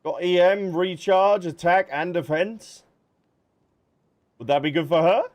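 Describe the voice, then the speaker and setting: A man's voice: high-pitched, measured pace, a little mellow. A man streaming a game, chatting casually and thinking aloud as he answers viewers. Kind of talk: casual livestream chat while gaming. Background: background music.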